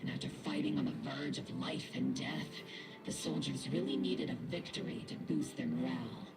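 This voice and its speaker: A woman voicing a stern, dutiful, weary but caring female army captain in a video game, with a low husky voice. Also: moderate pace. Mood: measured, resigned. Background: game music.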